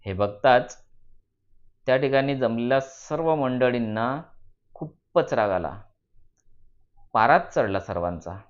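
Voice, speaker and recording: A man's voice: medium pitch, lively intonation, slow, long pauses. Male storyteller on a slightly dull recording. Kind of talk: moral storytelling for students.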